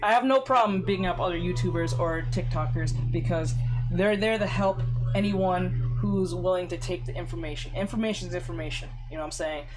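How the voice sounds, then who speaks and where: An art streamer running a live drawing tutorial, voice going hoarse, rambling with many fillers and restarts. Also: medium pitch, brisk, over music, rough recording. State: losing voice, hoarse.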